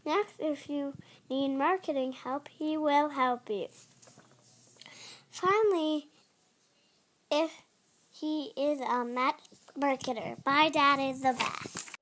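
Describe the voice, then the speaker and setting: A young girl carefully introducing her dad's podcast, reading in a halting way. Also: sits high, a bit bright, a little hiss or hum. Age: child. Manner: slow, careful, halting delivery. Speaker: host's daughter introducing the show.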